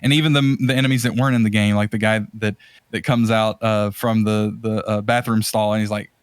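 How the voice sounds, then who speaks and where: A man's voice: on the low side, flat delivery, brisk, clean tone, no echo, clean studio sound. A man hosting a podcast in casual, opinionated film commentary, emphatic and rambling with repeated phrases. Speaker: podcast host.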